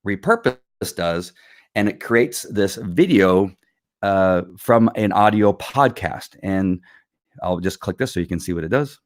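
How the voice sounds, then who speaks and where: A middle-aged man with a fairly low voice hosts a live tutorial from a small home studio. He talks casually into a boom mic, rambling with plenty of ums and restarts. The sound is close and dry. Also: no room sound. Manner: chatty, rambling, many fillers and restarts. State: recovering from losing his voice. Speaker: host of a live video show. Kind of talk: casual screen-share tutorial. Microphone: studio mic on boom arm.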